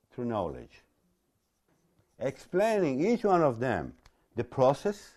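A man with an Italian accent and native Italian, giving an explanatory lecture in a halting, repetitive way with rhetorical questions. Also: fairly high pitch, mellow. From Italy. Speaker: religious lecturer. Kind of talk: explanatory talk to an audience.

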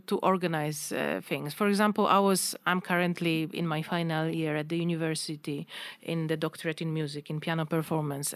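Young Polish-accented woman, smooth clear voice. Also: on the low side, no echo. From Poland. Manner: thoughtful, restarts phrases, laughs lightly. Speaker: concert pianist and doctoral student guest. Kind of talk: conversational interview answers.

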